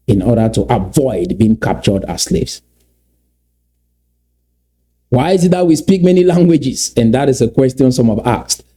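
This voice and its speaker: A man's voice: mid-range voice, dark tone. A middle-aged man with a Cameroonian English accent, giving commentary on clips and lecturing with rhetorical questions.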